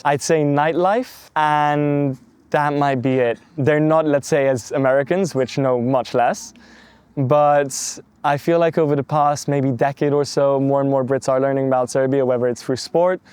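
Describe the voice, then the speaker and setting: Relaxed young man, smooth clear voice. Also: fairly high pitch, no room sound. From London, England. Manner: measured, thoughtful, with filler phrases like "let's say". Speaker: interview guest. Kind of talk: casual interview answers.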